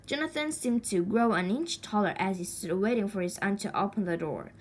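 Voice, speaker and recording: A woman reading a children's story aloud expressively, with deliberate dramatic pauses and stretched words. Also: mid-range voice. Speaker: storybook reader. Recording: faint background noise.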